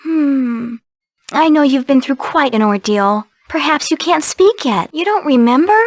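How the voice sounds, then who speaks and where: A polite, caring young noblewoman in a video game. Her high voice moves with lively, melodic intonation. She speaks gently and formally, and the recording is dry. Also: unhurried, no echo. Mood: kindly, concerned.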